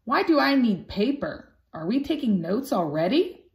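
A woman's voice: on the high side, very animated, moderate pace, creaky. Woman as an earnest little storybook puppy, swooping voice. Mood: puzzled. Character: young mixed-breed puppy, earnest and sensitive. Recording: roomy home recording.

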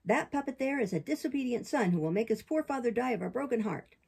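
Woman as a stern, accusing talking cricket, raised pitch. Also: animated, fast talker, gravelly, a little mellow, breathy edge, heavily acted. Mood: scolding. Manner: sententious, accusing.

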